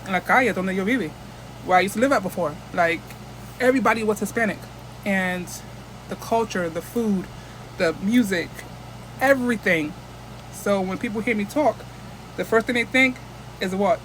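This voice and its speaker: A woman's voice: mid-range voice, moderate pace, pauses often, a little hiss or hum, poor audio. A woman from the United States chatting casually into her phone camera as a vlogger, rambling and restarting often.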